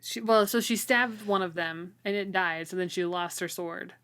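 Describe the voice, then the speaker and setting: A woman's voice: on the low side, fairly even intonation, normal speed, a bit bright, clean tone. Woman chatting casually, wryly recounting. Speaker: co-host of a book read-along show. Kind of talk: casual chat, recapping a fantasy novel.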